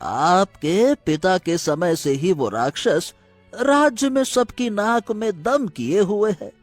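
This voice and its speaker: A man playing an anxious, deferential royal minister in an animated moral story, urgent and pleading. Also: high-pitched, no echo, heavily acted. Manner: urgent, pleading, drawn-out emphasis.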